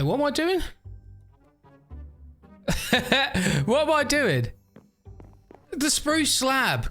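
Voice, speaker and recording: A man's voice: on the high side, bright, forceful tone. A man rambling through casual game commentary, overtired and a bit stuffy and nasal, often laughing at himself. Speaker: gaming YouTuber. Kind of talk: casual let's-play commentary.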